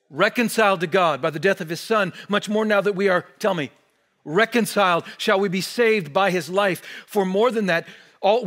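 A man in his forties preaches from behind a church pulpit, his voice sitting high for a man. He gestures broadly and swings from energetic emphasis down to hushed, almost whispered words. The mic is close and picks up every shift in level. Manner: animated preaching with wide gestures, dropping to near-whispers on key words. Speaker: pastor. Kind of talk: sermon.

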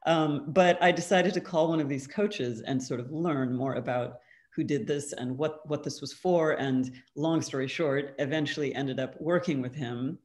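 A woman's voice: sits low, moderate pace, a little mellow. Woman artist, laughing between her explanations. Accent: West Coast American. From California, USA. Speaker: artist guest. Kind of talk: conversational art talk.

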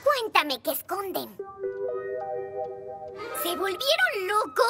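A woman voicing a tiny, childlike, excitable creature in a children's cartoon dub. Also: very high-pitched, takes it slowly, exaggerated voice. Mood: stern. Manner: exclamatory, animated. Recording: loud music behind the voice. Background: children's cartoon music.

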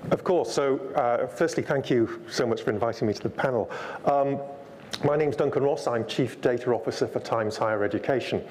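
A man's voice: medium pitch, fairly quick, darkish tone, slight background noise. Polite middle-aged man on a panel. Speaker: panelist, data officer. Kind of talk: panel talk. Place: conference stage.

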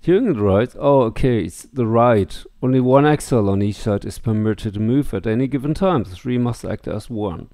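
A man's voice: mid-range voice, moderate pace, few pauses, mellow, no room sound, clean studio sound. A middle-aged man doing let's play commentary and reading game text aloud into a headset. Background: game music.

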